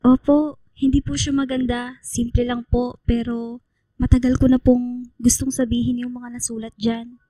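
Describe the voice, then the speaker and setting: An actress playing a shy, polite young female student in a radio drama, soft-spoken and hesitant. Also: a little high, little pitch movement, moderate pace, no room sound, lightly acted, low-quality recording. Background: music under the voice.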